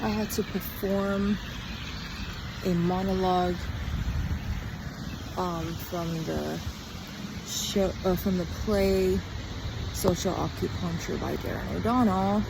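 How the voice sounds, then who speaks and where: Young female podcaster, weary and halting, unhurried casual diary ramble, clearly noisy outdoor recording with traffic. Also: lowish voice, steady tone. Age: young adult. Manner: halting, trailing off mid-thought. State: tired. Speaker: podcast host. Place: outdoors on a walk in a town. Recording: outdoor street noise with passing cars. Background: traffic and urban ambience.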